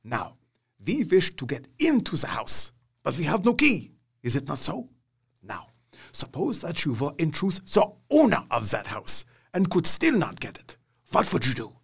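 An earnest, learned older professor, played by a man in a radio drama. He reasons step by step in slightly broken English, in a gravelly, husky voice with a lively rise and fall. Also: a little high, moderate pace, exaggerated voice. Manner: earnest, reasoning, foreign grammar.

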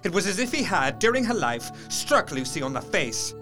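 A man's voice: high voice, normal speed, music in the background. A man playing a proper, troubled Victorian doctor, narrating his own horror story formally and with feeling in an audio drama. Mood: angry. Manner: formal, expressive. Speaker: narrator in character.

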